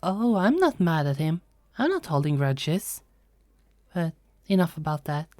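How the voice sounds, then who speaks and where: A woman as cheeky Norse goddess, low dark voice, dry close sound, playful, unafraid, fantasy roleplay. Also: very animated, a little slow, dry recording. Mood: gentle, chatty. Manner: playful, teasing, conversational. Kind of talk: fantasy ASMR roleplay acting.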